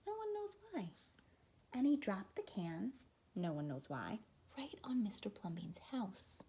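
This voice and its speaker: A woman's voice: medium pitch, sing-song, measured pace, dark tone, a little hiss or hum. A woman reading a children's picture book aloud as a teacher, expressively, with asides to young listeners.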